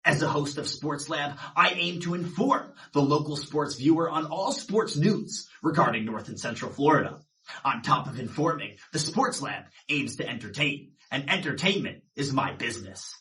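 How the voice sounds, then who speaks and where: A man's voice: fairly high pitch, expressive, moderate pace, bright, forceful tone, slightly rough, poor audio. A young man in his twenties, a student sportscaster introducing himself with a polished broadcaster delivery. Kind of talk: self-introduction narration.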